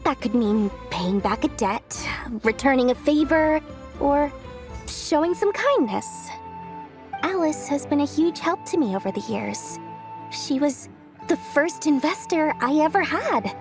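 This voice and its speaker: A brisk, self-assured businesswoman in a game cutscene. Her voice sits high and swings animatedly, with a breezy, matter-of-fact confidence. Faint music plays behind her. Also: expressive. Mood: earnest, confident. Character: brisk, proud businesswoman and investor-minded merchant lady. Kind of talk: English video game dub acting.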